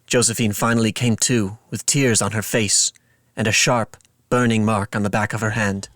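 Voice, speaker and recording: A man narrating a dramatic fantasy story in a measured, solemn manner. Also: lowish voice, steady tone, fairly bright tone, dry recording, clean studio sound. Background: music.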